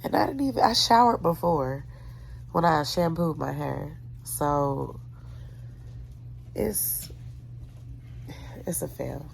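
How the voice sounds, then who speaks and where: A woman's voice: slow pace, gravelly, pauses often, a little hiss or hum, rough recording. A young woman who sounds nasal and congested from being sick, talking casually and wearily to her camera. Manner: tired, low-key, trailing off quietly. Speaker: vlogger. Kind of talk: casual vlog talk to camera. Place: at home, then at a pharmacy drive-through.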